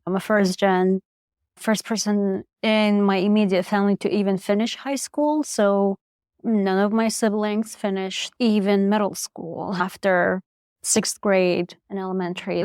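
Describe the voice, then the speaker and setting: A woman's voice: mid-range voice, steady tone, moderate pace, clear, resonant tone, no room sound, studio quality. A young woman from Iraq whose first language is Chaldean, a public health researcher talking reflectively in an interview. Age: young adult. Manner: soft-spoken, reflective, frequent "like" and restarts. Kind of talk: interview conversation.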